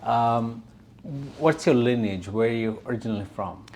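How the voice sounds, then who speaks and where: A man's voice: mid-range voice, normal speed, darkish tone. Male interviewer over audible background noise. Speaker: interviewer, host. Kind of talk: semi-formal interview questions.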